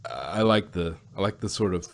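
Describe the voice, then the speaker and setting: A man with a slightly low voice talks in a casual, conversational way. He is hesitant, often doubling back to repeat or reword a phrase before finishing it. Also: animated, brisk, creaky. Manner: hesitant, repeats and rephrases himself. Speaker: podcast host. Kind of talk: casual chat.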